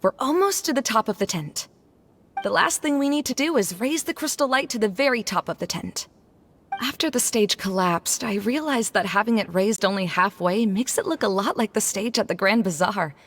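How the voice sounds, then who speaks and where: A woman's voice: medium pitch, expressive, normal speed, fairly bright tone, slight background noise, lightly acted. A woman playing a weary young scholar. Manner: wistful, subdued. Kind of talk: video game voice acting.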